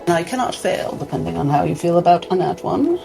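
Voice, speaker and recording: A man playing a tabletop roleplaying game casually, talking in a hesitant, halting way as he improvises. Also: high voice, lively intonation, creaky, a little mellow. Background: music under the voice.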